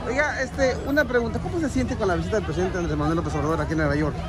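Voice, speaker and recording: A man's voice: high-pitched, rapid-fire. A man working as a street reporter, asking passers-by questions in interviews. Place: outdoors on a city street at a rally. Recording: busy city street with loud crowd.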